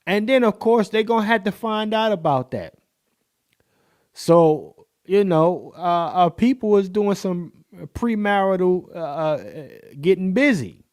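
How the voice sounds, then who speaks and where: A man hosts a podcast and riffs on a clip in loose, joking slang, laughing often. His voice sits a bit high, and he takes his time getting words out. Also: expressive, a little slow, darkish tone, clean tone. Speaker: podcast host reacting to a clip. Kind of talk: casual reaction commentary.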